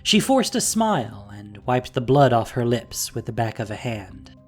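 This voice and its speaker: A narrator with a measured, dramatic storytelling manner, reading a scripted audio drama. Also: mid-range voice, sing-song, husky, soft background music. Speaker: podcast narrator and producer.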